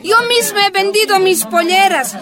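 A woman's voice: very high voice, fairly even intonation, normal speed, a crowd in the background. A woman playing a poor, impassioned miner's wife in a radio drama. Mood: pleading.

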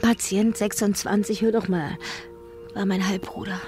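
A woman plays a seductive informant in a radio thriller. Her medium-pitched voice is coaxing and insistent, close and secretive, over prominent music. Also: little pitch movement, normal speed, close to an everyday voice. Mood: urgent, upset. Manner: intimate, coaxing, urgent. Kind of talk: radio drama acting.

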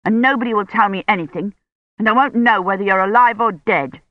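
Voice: medium pitch, fairly quick, no echo, muffled recording, lightly acted. A narrator voicing an eager, stubborn young boy who pleads and argues. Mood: pleading, sulky.

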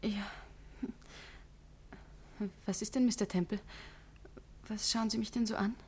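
An actress playing a nervous, evasive young woman in a 1950s German radio drama, hesitant and defensive, sometimes dropping to a breathy whisper. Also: mid-range voice, fairly even intonation, unhurried, slight background noise, close to an everyday voice. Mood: troubled, evasive.

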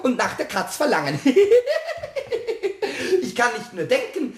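A man playing a boastful, mischievous little kobold with a squeaky, childlike, piercing voice in a children's radio play. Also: unhurried, full character voice. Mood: gleeful, triumphant. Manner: boastful, rhyming, excitable.

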